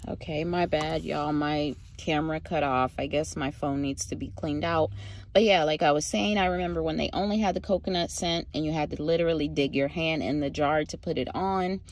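A young woman chatting casually to her phone camera from inside a parked car, relaxed and full of fillers, with a lilt. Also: low voice, fairly quick. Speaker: vlogger. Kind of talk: casual vlog talk to camera. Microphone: phone camera at arm's length.

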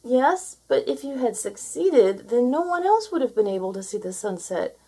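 A woman's voice: on the high side, sing-song, moderate pace, warm tone, slightly rough, pitched above the performer's own voice, exaggerated voice. A woman voicing a small, earnest and clever male seal in a storybook, pleading and exclaiming.

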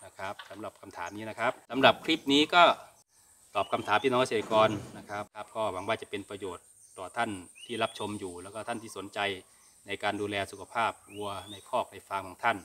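Middle-aged male host from Thailand, bright forceful tone, informal and polite explanatory delivery to viewers. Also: lowish voice, steady tone, fast, slight background noise. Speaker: cattle farming channel host. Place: open-sided cattle barn.

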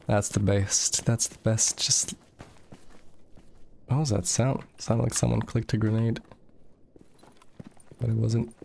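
A man's voice: lowish voice, fairly even intonation, slow pace, vocal fry, long pauses, dark tone, slight background noise. A young man from Moldova, chatting casually while streaming a game, swearing freely and reading chat aloud. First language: Russian.

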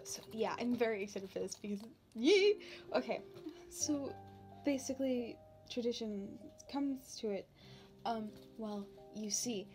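A woman playing a playful, anxious young demon princess in an ASMR roleplay, talking casually and haltingly with a giggly manner. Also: on the high side, darkish tone, close to an everyday voice. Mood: relieved, eager. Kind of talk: ASMR roleplay, unscripted-sounding casual chat.

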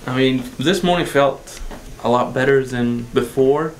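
A man's voice: medium pitch, measured pace. A middle-aged man from Egypt, a patient, answering questions in a clinic room in earnest, brief replies with some hesitation. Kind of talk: interview answers. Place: dental clinic treatment room. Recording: echoey clinic room, faint hiss.

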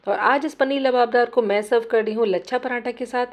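A woman talks viewers through a home recipe step by step, friendly and unhurried. Her voice sits a little high, and she narrates over the cooking rather than to a camera. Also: steady tone. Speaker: cooking channel host.

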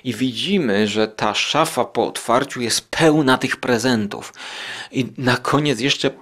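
A man's voice: medium pitch, measured pace, a bit bright. A man hosting a podcast, casually commenting and often searching for words. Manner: hesitant, searching for words, enthusiastic. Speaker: podcast host.

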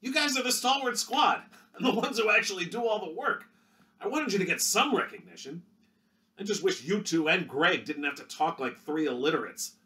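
A man voicing a brash 1930s adventurer hero who talks in a punchy, loud way. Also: high voice, animated, moderate pace, distant mic, voice pushed up. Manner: brash, punchy, calls people pal.

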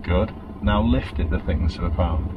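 A middle-aged man, calmly coaching a learner through the pedals inside a car, often checking she understands. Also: deep voice, moderate pace, narrow, tinny audio. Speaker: driving instructor. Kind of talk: patient step-by-step instruction. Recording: noisy car interior.

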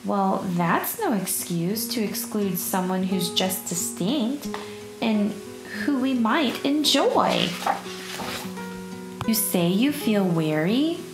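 Slow-paced woman reading a children's storybook. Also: medium pitch. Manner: expressive, measured storytelling. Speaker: storybook narrator. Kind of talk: children's picture book read aloud, rhyming. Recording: roomy echo, music behind. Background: plucked guitar music.

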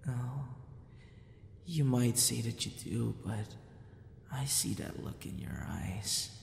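A man playing a gentle, possessive yandere captor in an ASMR roleplay, speaking softly and often slipping into whispers. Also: sing-song, slow pace, exaggerated voice. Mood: pleading, possessive. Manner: soft, breathy, often dropping into a whisper. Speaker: audio roleplay voice actor. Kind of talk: ASMR boyfriend roleplay acting. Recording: roomy reverb with faint rumbling noise.

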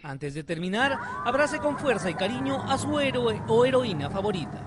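High-pitched, animated male TV narrator. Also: moderate pace. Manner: dramatic, storytelling delivery. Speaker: reporter. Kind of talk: scripted TV feature narration. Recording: studio voice-over with loud music bed. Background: loud music.

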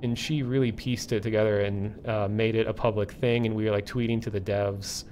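A man chatting casually as a streamer, rambling and halting as he thinks aloud. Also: flat delivery, darkish tone. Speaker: streamer and online community host. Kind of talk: casual livestream chat. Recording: low rumbling background noise.

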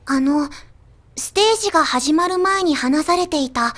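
A woman playing a quirky teenage girl idol with a deadpan, polite delivery in an anime audio drama. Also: sits high, normal speed. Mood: hesitant, polite. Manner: deadpan, flat delivery of jokes. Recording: music under the voice.

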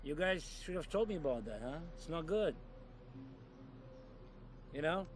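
An actor playing a jovial, foul-mouthed middle-aged Russian gangster with a boisterous, teasing manner and a Russian accent. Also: male voice, on the high side, expressive, slight background noise. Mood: mock grievance. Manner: boisterous, teasing, drawn-out delivery. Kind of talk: live-action game cutscene acting.

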